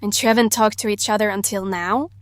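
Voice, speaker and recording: A woman's voice: medium pitch, steady tone, a little fast, no room sound, studio quality, close to an everyday voice. A woman acting in an audio drama as a mysterious, earnest maiden and fearless ally with cryptic, formal phrasing. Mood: gentle, curious.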